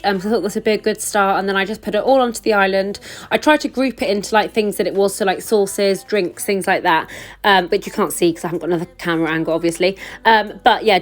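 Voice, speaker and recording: A young woman with a cold-roughened voice, chatting casually to camera in her kitchen and often correcting herself. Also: medium pitch. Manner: chatty, rambling, self-correcting. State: hoarse with a cold. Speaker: cleaning vlogger. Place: home kitchen, indoors. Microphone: camera mic at a few feet. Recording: roomy kitchen echo, mic at a distance, soft music.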